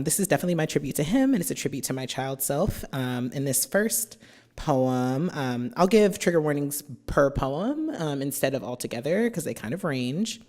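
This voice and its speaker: A woman's voice: very deep voice, darkish tone. American poet woman reading at a symposium. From New Jersey, USA. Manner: chatty, um-filled introductions and slow, measured verse. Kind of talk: poetry reading with spoken introductions. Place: university symposium venue.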